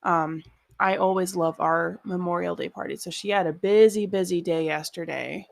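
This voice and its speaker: A woman's voice: lowish voice, fairly even intonation, normal speed, vocal fry, dry recording, clean studio sound. Playful, argumentative young woman. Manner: playful and argumentative, laughs often, swears freely. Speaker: podcast co-host. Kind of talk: casual chat.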